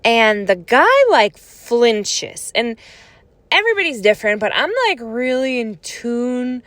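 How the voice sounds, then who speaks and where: A woman's voice: a little high, slow, dry recording. Chatty woman telling a personal story. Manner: chatty, many "like" fillers, animated quoted voices. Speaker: vlogger telling personal stories. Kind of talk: casual storytelling monologue.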